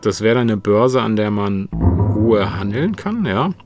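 A man talking informally and reflectively, halting and letting thoughts trail as he goes. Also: on the low side, big pitch swings. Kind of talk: informal reflective interview talk. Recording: prominent plucked guitar music bed. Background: plucked guitar music.

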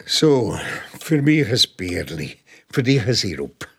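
An actor with a Swiss German accent playing a bumbling, forgetful, good-natured detective sidekick in a children's radio play. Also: male voice, lowish voice, very animated, slow pace, breathy edge, exaggerated voice. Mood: amazed, puzzled.

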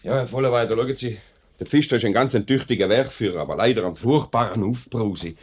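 An actor playing a courteous, firm factory director with a Swiss German accent in a 1950s radio play. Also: male voice, mid-range voice, fast talker, slight background noise, close to an everyday voice. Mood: attentive. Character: measured, authoritative male factory director, fair-minded boss.

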